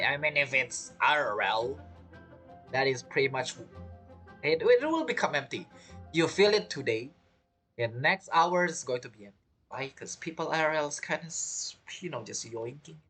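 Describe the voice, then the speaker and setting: A male streamer comments casually on a game with very animated intonation. He often restarts and repeats phrases while reacting to characters, with soft music in the background. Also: fairly high pitch, measured pace, pauses often. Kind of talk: casual let's play commentary.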